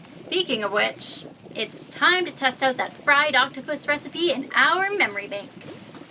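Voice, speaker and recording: A woman's voice: high-pitched, animated, measured pace, pushed and bright, a little hiss or hum, heavily acted, low-quality recording. A woman playing a sly, flippant, food-obsessed computer program in a comedic sci-fi audio drama.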